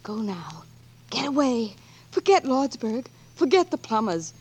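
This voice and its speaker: An American woman acting a devoted, pleading lover of an outlaw in a melodramatic, halting 1940s radio performance. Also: fairly high pitch, very animated, a little mellow, husky, exaggerated voice. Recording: old 1940s radio broadcast, faint music.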